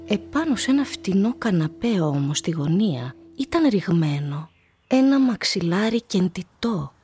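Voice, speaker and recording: A woman narrating a literary radio play in a measured, deliberate manner. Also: medium pitch, sing-song, a little mellow, slightly rough, faint music behind.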